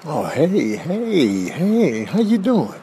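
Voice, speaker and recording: A man's voice: on the high side, wildly swooping pitch, slow pace, dark tone, slight background noise, exaggerated voice. A man voicing a flirtatious, pushy deer in a comedic animal voiceover, drawling and sweet-talking. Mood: flirtatious coaxing.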